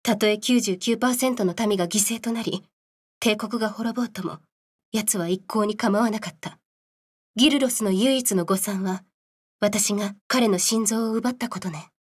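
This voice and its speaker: A woman voicing a solemn female scientist in a game cutscene, telling a grim story in a measured, serious way. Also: mid-range voice, slightly rough, lightly acted. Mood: grave, bitter. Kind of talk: dramatic game cutscene narration.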